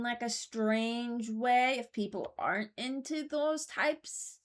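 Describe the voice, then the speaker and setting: A woman giving casual commentary, thinking aloud in a hesitant, deliberating way and often correcting herself. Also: mid-range voice. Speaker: host ranking game voice lines.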